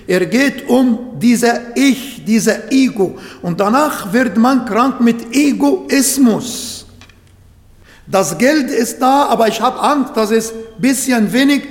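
Non-native guy giving a sermon, halting and slow, echoey church hall sound. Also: extremely high pitch. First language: not German. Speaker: preacher.

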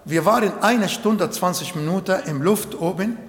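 A man whose first language is Arabic, preaching a sermon slowly and deliberately in German that is not his native tongue. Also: high voice. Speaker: pastor. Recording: echoey hall.

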